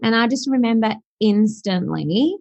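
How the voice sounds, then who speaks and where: A woman's voice: fairly high pitch, lively intonation, unhurried, creaky, a little mellow, dry recording. Reflective Australian woman, dull dry sound. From South Africa. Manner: reflective, with frequent fillers. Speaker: guest. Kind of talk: podcast interview.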